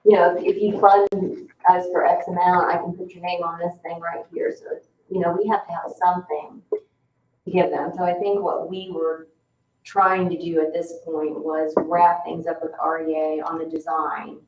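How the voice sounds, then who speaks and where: A woman's voice: lowish voice, level tone, normal speed, darkish tone. An American woman talking informally as a commission member in a public meeting. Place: indoor public meeting room. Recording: echoey, distant, muffled, faint room noise.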